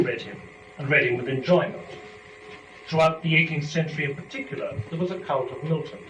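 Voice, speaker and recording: A man with a fairly high-pitched voice speaks with formal, deliberate articulation, like a presenter of poetry. He is picked up from a distance in an echoey room, so the words ring around him. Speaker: performer and lecturer. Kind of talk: dramatic poetry reading and introductory lecture. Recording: echoey room, distant mic, dull, noisy.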